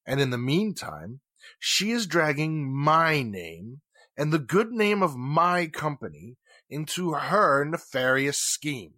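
A man playing a formal, indignant businessman and bitter ex-husband in 1940s radio drama style, with deliberate, emphatic phrasing. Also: fairly high pitch, very animated, sharp tone, no room sound, clean studio sound, heavily acted. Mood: indignant, accusing.

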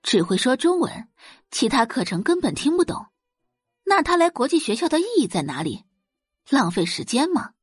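A woman narrating a dramatic audio novel in an expressive storytelling style. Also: high voice, moderate pace, slightly rough, no room sound, soft background music.

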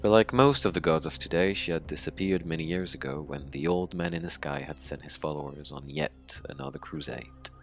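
An actor playing a haunted young Mexican man in his twenties, telling his tragic story in a halting, sweary, informal monologue for an audio drama. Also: very deep voice, big pitch swings, creaky, heavily acted. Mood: wry storytelling. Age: 20s.

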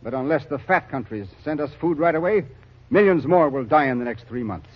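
An actor playing a hardened, blunt army captain working famine relief, grim and weary, in a 1940s radio drama. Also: male voice, on the high side, animated, fairly quick. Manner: blunt, grimly weary, callous. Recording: dull old radio recording.

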